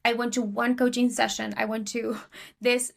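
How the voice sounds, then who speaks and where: A woman's voice: fairly high pitch, flat delivery, normal speed, gravelly. Argentinian woman, chatty podcast guest. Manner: chatty, many fillers and restarts. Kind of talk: casual interview talk.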